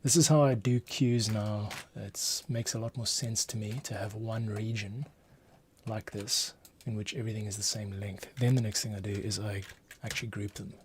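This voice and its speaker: A man giving a casual screencast tutorial, thinking aloud and muttering to himself with pauses as he works. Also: fairly low pitch, fairly even intonation. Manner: muttering to himself, pausing while working. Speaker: composer, streamer host. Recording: faint noise, keyboard and mouse clicks. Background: typing, mouse clicks.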